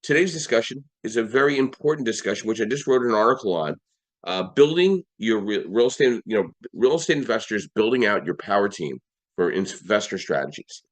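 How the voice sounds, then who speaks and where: A man hosting a live audio-room podcast, his voice a little hoarse, running through sponsors and lists in an upbeat way with frequent restarts. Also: lowish voice, expressive, fairly quick. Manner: upbeat, list-like delivery with restarts. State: slightly hoarse. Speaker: podcast host.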